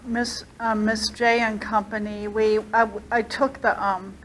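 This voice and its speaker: A woman on a factory tour talks slowly and haltingly, stopping often on "um" and "uh." Faint machinery noise hums behind her. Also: a little high, flat delivery, fairly bright tone, rough recording. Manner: halting, many fillers. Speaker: tour visitor. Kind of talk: casual chat.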